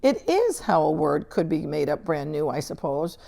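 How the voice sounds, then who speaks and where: A woman's voice: sits low, sing-song, vocal fry, dark tone, exaggerated voice. Woman reading as a stern, formal lady schoolteacher. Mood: firm, probing. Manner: measured, precise, formal. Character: stern, formal older female schoolteacher, firm and controlled. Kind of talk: storybook read-aloud character dialogue.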